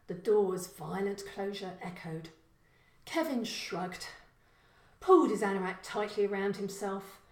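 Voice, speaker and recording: Slow-paced woman storyteller in a roomy space. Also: mid-range voice. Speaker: author reading own short story. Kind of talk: scripted narration of a story.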